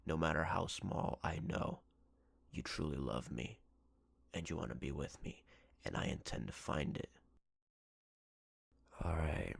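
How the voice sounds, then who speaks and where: Man acting a very deep, gravelly obsessive ex-boyfriend. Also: no room sound, exaggerated voice. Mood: sinister, teasing. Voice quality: gravelly, husky, breathy. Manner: slow, deliberate, threatening, possessive. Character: menacing obsessive yandere ex-boyfriend, a jealous captor. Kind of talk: ASMR roleplay acting.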